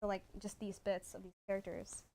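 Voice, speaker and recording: A woman chatting casually on a livestream in a halting, giggly way. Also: mid-range voice, level tone, mellow.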